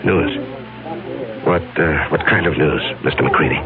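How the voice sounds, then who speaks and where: An actor playing a troubled younger executive in a radio drama, polite but distracted, evasive and halting. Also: male voice, rumbling low, over music. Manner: hesitant, halting. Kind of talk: 1970s radio drama acting. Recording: tinny old radio recording, background bustle.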